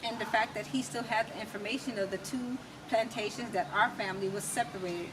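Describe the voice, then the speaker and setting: An American woman telling her personal story as an interview guest, emphatic and reflective, in short deliberate phrases. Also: on the high side. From United States. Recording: distant mic, clearly noisy background.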